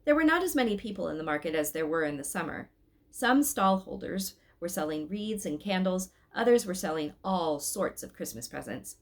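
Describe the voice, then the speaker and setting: A woman's voice: fairly low pitch, lively intonation, moderate pace. A woman hosting a read-aloud, narrating a storybook expressively with casual asides. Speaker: storybook reader, host.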